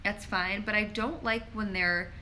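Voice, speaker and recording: A woman's voice: medium pitch, steady tone, measured pace, sharp tone, a little hiss or hum. A woman pharmacist answering viewer questions in a casual live stream, talking chattily with lots of "like" and reading questions aloud, recorded on a handheld phone. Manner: chatty, frequent "like" fillers, reads questions aloud. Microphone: phone held in hand.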